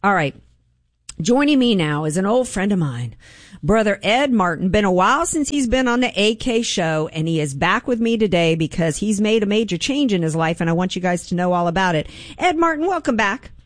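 A woman hosting a morning talk radio show, conversational with fillers, shifting into a bright sales pitch for sponsors. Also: animated, brisk, no echo, clean studio sound. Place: radio studio. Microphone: studio mic.

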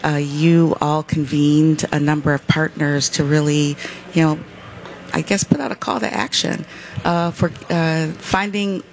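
Guest speaker from West Michigan, mid pitch, measured, explains with lots of uh's. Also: steady tone, vocal fry, a little hiss or hum. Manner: measured, many "uh" fillers while explaining. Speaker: foundation representative, guest. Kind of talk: explanatory interview answers.

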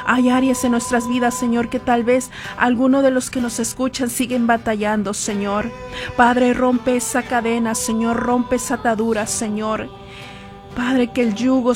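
Woman preacher praying, kinda high voice, pleading and repeating lines, music behind her. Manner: earnest, pleading, repeating phrases insistently. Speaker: devotional preacher leading prayer for listeners. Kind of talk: fervent prayer and exhortation.

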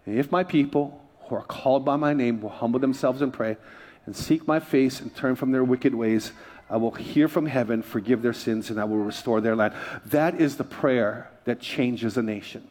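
A man's voice: medium pitch, moderate pace, darkish tone. A man from Hawaii preaching a sermon as a pastor, emphatic, repeating phrases and prompting his listeners. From Hawaii, United States.

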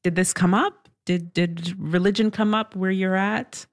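A woman interviewer asking gentle, probing questions about a personal topic. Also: mid-range voice, steady tone, a little slow.

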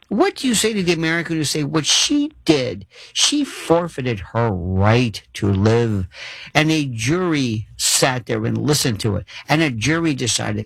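A man's voice: a little high, gravelly, fairly bright tone, slightly rough, no room sound, poor audio. A man hosting a call-in radio talk show, theatrical and wordy with mock-formal turns of phrase. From Florida.

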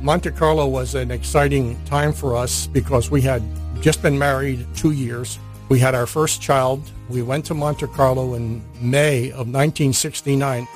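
A man's voice: mid-range voice, steady tone, unhurried, soft background music. An older man whose first language is Hungarian, a retired missionary telling his story in an earnest, recorded interview.

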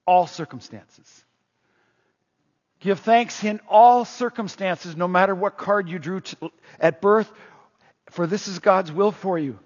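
A middle-aged man preaches with a high, husky voice, talking casually with the congregation and tossing them questions. His voice rings out in a long hall reverb, and the recording sounds dull and muffled. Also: slow pace, long pauses. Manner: conversational, asks the congregation questions. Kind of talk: sermon.

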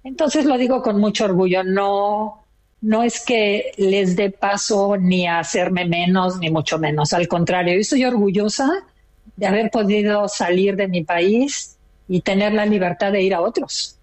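A woman's voice: medium pitch, measured pace, a little mellow. A retired older woman from Mexico with a Mexican accent, chatting proudly as a caller on a radio talk show. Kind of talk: casual chat.

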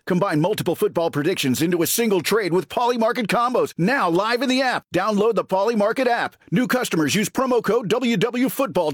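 A man reads a commercial in a high voice at a rapid clip. The sound is very dry, like a close studio announcer read. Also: no echo. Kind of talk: scripted ad read with disclaimer.

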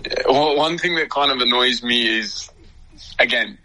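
A man's voice: medium pitch, normal speed, pushed and bright, rough recording. A young Australian man in his twenties, a guest speaking candidly and casually in a radio interview. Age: 20s. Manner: candid, casual, loose with fillers like "you know".

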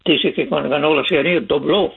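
Gravelly-voiced American man on radio. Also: fairly high pitch, quick pace, slight background noise. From California, United States. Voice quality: gravelly, husky. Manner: explains step by step with repeated contrasts, chuckles. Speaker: immigration advice radio host. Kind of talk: radio call-in advice talk. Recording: lo-fi phone-like radio sound.

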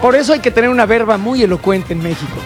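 A man's voice: high-pitched, fast, music in the background. A man with a Mexican accent bantering casually and jokingly as a panelist on a sports show.

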